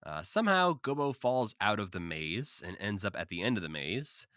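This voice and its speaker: A man's voice: on the low side, sing-song, normal speed, fairly bright tone, no echo, clean studio sound. A man co-hosting a podcast, casually recapping and commenting with a wry, joking manner. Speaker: podcast co-host.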